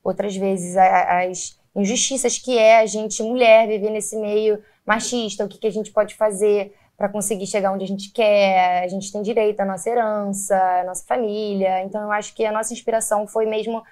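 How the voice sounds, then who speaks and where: Chatty Carioca actress for an easygoing interview, medium-pitched woman. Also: fairly even intonation, normal speed, few pauses, a little mellow. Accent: Carioca Brazilian Portuguese. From Rio de Janeiro, Brazil. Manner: chatty, many fillers like "né" and "assim". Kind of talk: casual interview chat.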